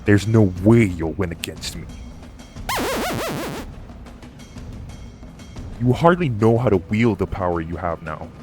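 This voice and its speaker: A man's voice: low-pitched, animated, normal speed, music in the background. A man playing a stern, combative magical fighter in an audio roleplay, commanding, confident and impatient. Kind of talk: dramatic audio roleplay acting.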